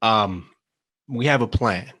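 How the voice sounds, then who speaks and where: Guy doing earnest nerdy hacker, lowish voice w bright edge, stumbles a bit. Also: husky, exaggerated voice. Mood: earnest, defiant. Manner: hesitant, halting, earnest. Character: earnest, brainy, nervous but determined software hacker. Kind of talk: screenplay table read acting.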